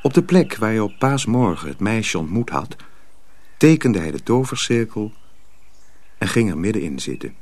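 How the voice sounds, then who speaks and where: A man narrating a radio play in a measured, literary storytelling manner, as a sober teller of a dark folk tale. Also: fairly low pitch, exaggerated voice.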